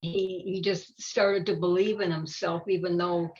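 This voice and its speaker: An older woman chatting casually about a children's book, haltingly, often searching for her words. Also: on the low side, little pitch movement, a little mellow, dull audio. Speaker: volunteer storybook reader.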